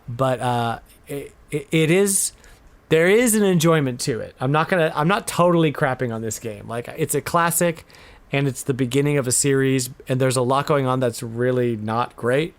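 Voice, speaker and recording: A man hosting a casual video game podcast, talking with animated, exasperated emphasis. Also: mid-range voice. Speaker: podcast host.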